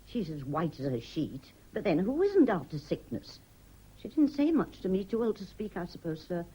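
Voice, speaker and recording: Lively, blunt maidservant. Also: female voice, lowish voice, normal speed, slightly rough, poor audio. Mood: matter-of-fact, grumbling. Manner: matter-of-fact, deferential, grumbling. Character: blunt, chatty, deferential female household servant. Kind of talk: British radio drama acting.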